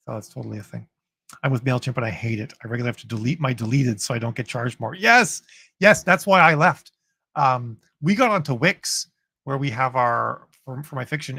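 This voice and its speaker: A man talks loosely and cheerfully to viewers, his voice worn raspy after a long session. Gravel and some breath run through the tone, and the sound is dry and close, with no room around it. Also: mid-range voice, a bit bright, no room sound. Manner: chatty, upbeat, full of fillers and restarts. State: voice getting raspy from long talking. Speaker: host of a writers' livestream. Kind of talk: casual livestream chat answering viewer comments.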